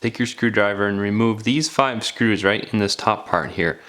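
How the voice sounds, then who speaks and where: A man's voice: on the low side, normal speed, fairly bright tone. A man narrating a hands-on DIY repair tutorial in a casual, folksy, step-by-step way. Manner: folksy, informal, step-by-step phrasing. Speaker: DIY phone repair instructor.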